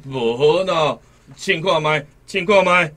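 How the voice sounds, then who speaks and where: A man's voice: a little high, bright, forceful tone. An actor playing a bullying, arrogant colonial policeman in a radio drama, haughty and drawling, barking his orders. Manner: haughty, drawn-out, barking commands.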